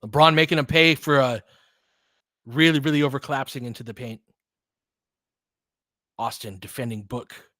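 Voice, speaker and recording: A man's voice: medium pitch, moderate pace, long pauses, bright, forceful tone, no room sound. Dry-sounding man calling basketball. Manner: clipped, fragmentary play calls. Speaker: host doing fan play-by-play of a basketball game. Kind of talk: live sports commentary mixed with casual chat.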